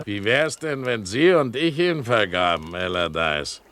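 Forceful, sly pirate captain. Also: male voice, fairly low pitch, animated, a little slow. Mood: slyly needling. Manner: commanding, mocking, given to chuckling. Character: sly, domineering pirate captain, jovially menacing. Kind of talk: 1970s German radio play acting.

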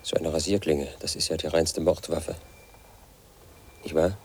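A man's voice: very deep voice, steady tone, unhurried, a little mellow, slight background noise. An actor playing a lonely, paranoid 38-year-old man who talks in a pedantic, confiding way in a radio drama monologue. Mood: brooding. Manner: pedantic, confiding, obsessed with statistics and codes. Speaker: lead actor in a radio play.

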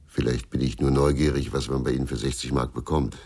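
An actor playing a stubborn, embittered, hard-drinking former policeman in a radio crime drama, blunt and confrontational, with a husky, gravelly voice. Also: a bass voice, steady tone, quick pace, warm tone, heavily acted. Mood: curt, guarded.

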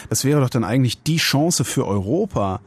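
A man's voice: mid-range voice, expressive, moderate pace, husky. A man hosting a late-night radio call-in show, musing aloud and throwing out provocative, opinionated questions. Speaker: radio host.

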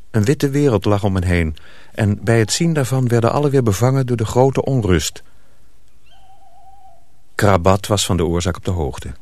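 Lowish-voiced male radio drama narrator. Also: a little mellow.

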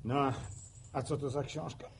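A man's voice: medium pitch, fairly even intonation, moderate pace, vocal fry, slight background noise, close to an everyday voice. A man playing a good-natured, practical young farmer and older brother in a radio drama, with folksy hesitant phrasing. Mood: curious.

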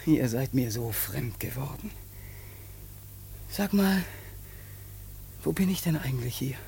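A man's voice: medium pitch, animated, slow pace, gravelly, dark tone, slight background noise. A man acting in a radio drama as a defiant, bitter and tormented young son, with a strained voice. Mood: agitated, estranged.

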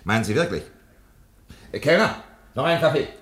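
An actor playing a matter-of-fact anarchist doctor in a radio play, offhand in manner. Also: male voice, medium pitch, sing-song, brisk, a bit bright. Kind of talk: German radio play acting. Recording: clinking dishes and cutlery behind.

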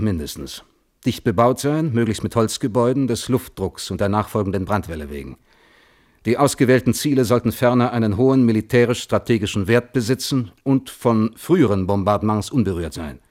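An actor playing a guarded, reflective physicist on the witness stand in a German radio play, answering tersely and precisely. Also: male voice, medium pitch, lively intonation, fairly quick, darkish tone, close to an everyday voice. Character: measured, guarded male physicist testifying as witness. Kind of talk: German radio play acting, hearing testimony.

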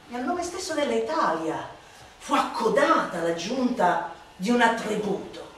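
A woman performing a satirical theatrical monologue in a declamatory, sardonic manner that swings between hushed and shouted delivery. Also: high voice. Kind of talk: theatrical radio recitation of a satirical pamphlet. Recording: echoey hall, distant mic, noisy.

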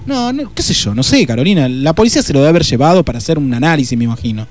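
A man's voice: a little high, sing-song, very fast. An Argentine man playing a bumbling, evasive private detective with a hesitant, muttering manner in a radio play. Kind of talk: radio drama acting.

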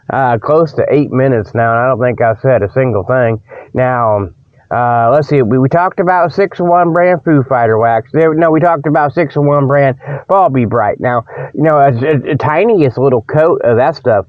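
Folksy rambling comedy host, higher-pitched man. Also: no room sound. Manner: folksy, repeats "you know" and "okay" as tags; chuckles at own jokes. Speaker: host of a comedy radio show. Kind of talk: rambling tongue-in-cheek monologue with mock sales pitches. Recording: dull, dry radio recording.

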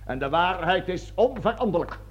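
An actor playing a pompous, fanatical army colonel with a declamatory manner in a satirical radio play. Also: male voice, high-pitched, animated, moderate pace. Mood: bombastic, accusing. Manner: declamatory speeches, barking orders, stammering when flustered. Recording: dull lo-fi radio drama recording.

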